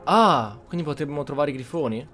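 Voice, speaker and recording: A man's voice: on the high side, expressive, fast, fairly bright tone, faint music behind. A man giving in-character role-play commentary over a video game. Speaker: gamer playing in character.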